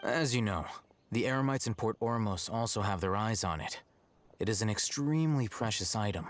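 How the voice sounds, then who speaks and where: A man's voice: on the low side, expressive, normal speed, lightly acted. A man playing a confident, aloof young scholar in a video game, composed, articulate and matter-of-fact. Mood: measured, matter-of-fact. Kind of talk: video game character acting.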